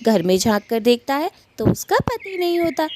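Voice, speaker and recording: A woman narrates a children's cartoon tale as a scripted storyteller. Her register sits well below a shrill character voice, and there is faint noise in the recording. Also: very animated, normal speed.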